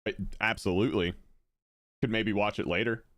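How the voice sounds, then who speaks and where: A man talks casually over a live stream, excitable and loose, dropping fillers as he comments on what he watches. His voice sits a little low and comes through smooth and resonant. The sound is dry and close. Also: moderate pace, no echo. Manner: excitable, chatty, many fillers. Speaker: streamer reacting to videos.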